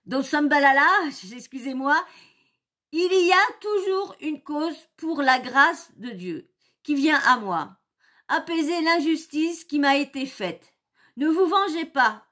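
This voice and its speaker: A woman's voice: sits high, a bit bright. A woman hosting her own channel, chatting casually and hesitantly, with many fillers and repeated words as she reads posts aloud. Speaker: YouTube channel host. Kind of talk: casual commentary reading social posts aloud.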